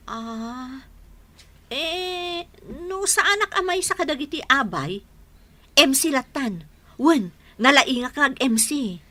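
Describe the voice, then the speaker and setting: Bright, high-pitched, animated Ilocano chatterbox. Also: female voice, slow, dry recording, full character voice. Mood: flustered, put out. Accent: Ilocano Filipino. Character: chatty, boastful woman friend angling to be bridesmaid. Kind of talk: Ilocano radio drama acting.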